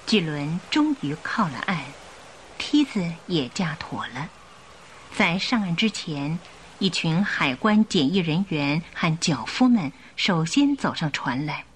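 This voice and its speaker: A woman narrating a scripted radio drama in an expressive, carefully enunciated storytelling style. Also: sits low, takes it slowly, slight background noise.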